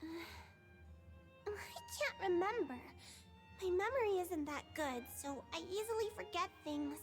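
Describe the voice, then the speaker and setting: A woman's voice: very high-pitched, animated, a little slow, faint music behind. A woman voicing a sweet, forgetful, childlike little creature in a video game, with innocent, simple phrasing. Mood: dreamy, puzzled. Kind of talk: scripted video game dialogue.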